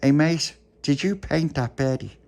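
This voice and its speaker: A man's voice: fairly high pitch, unhurried, vocal fry, a little mellow, voice pushed up. A man voicing a cheeky, doubting boy, blunt but grudgingly compliant, in a told story. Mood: sceptical. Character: cheeky, sceptical boy questioning a magician.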